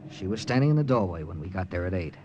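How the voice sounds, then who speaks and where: An actor playing a tough, weary American army captain with an American accent, narrating and speaking in clipped 1940s radio style. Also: male voice, sits low, expressive, fast, dull audio, heavy background noise. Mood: grim, reflective. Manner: hard-boiled, clipped. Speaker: narrator and lead character. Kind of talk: 1940s radio acting with first-person narration.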